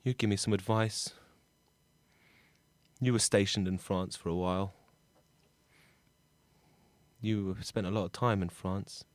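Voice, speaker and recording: A man's voice: fairly low pitch, fairly even intonation, measured pace, pauses often, dry recording, natural voice. A man plays a luckless Englishman stranded far from home in an improvised comedy. He is blunt, chatty and sweary, recorded very dry. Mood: forlorn muttering. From England. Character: Bob, hapless sweary Englishman stranded in France, missing his dad.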